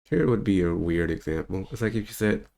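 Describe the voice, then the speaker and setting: A man's voice: low voice, expressive, brisk, vocal fry, darkish tone. A man in his thirties, a spiritual teacher, talking in a loose, rambling monologue with easy laughs. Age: 30s. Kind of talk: casual unscripted monologue.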